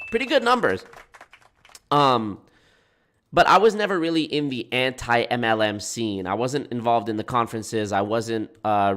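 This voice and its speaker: A man's voice: mid-range voice, a bit bright. A man with a nasal, congested voice, talking casually to his livestream chat as a content creator and often restarting his sentences. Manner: rambling, self-correcting, frequent restarts. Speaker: anti-MLM YouTube creator.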